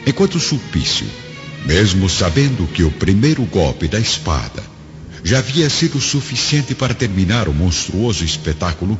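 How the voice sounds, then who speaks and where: A man's voice: deep voice, lo-fi sound. A man narrating a scripted radio drama in a solemn, theatrical, emphatic manner. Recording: band-limited sound, loud music underneath.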